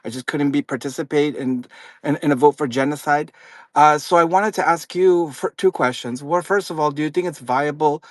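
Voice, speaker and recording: A man's voice: a little high, no echo. A man originally from Afghanistan calling in to a live audio chat, speaking earnestly and politely with some hesitation. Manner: earnest, polite, a little halting. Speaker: caller.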